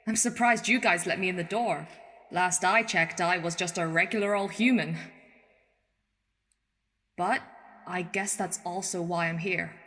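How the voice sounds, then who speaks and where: An actress playing a tough, plain-spoken ex-military leader in a radio play, making a frank and earnest speech. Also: female voice, fairly low pitch, fairly bright tone. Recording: long hall reverb.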